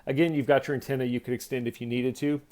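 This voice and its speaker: A man's voice: mid-range voice, flat delivery, fast, darkish tone. A man giving a casual product demonstration, explaining things step by step in a plainspoken way. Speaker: product reviewer.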